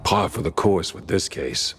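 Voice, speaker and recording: An actor playing a world-weary mouse private eye in a noir video game, with an American accent and a terse, deadpan delivery. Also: male voice, low-pitched, normal speed, husky, full character voice. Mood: businesslike. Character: world-weary, wry hardboiled male mouse private detective. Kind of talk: 1930s noir detective game acting.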